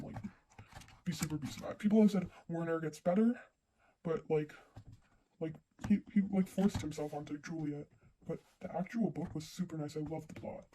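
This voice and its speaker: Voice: medium pitch, noisy background. A person with a voice-changing effect chatting casually and informally about books in a rambling, slangy way, as a vlogger. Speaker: book reviewer, vlogger. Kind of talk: casual monthly reading wrap-up chat.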